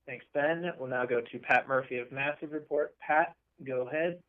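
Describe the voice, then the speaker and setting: A man with a smooth, clear voice and medium pitch moderates a press call in an orderly style. The sound is thin and phone-like. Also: moderate pace. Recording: narrow phone conference line.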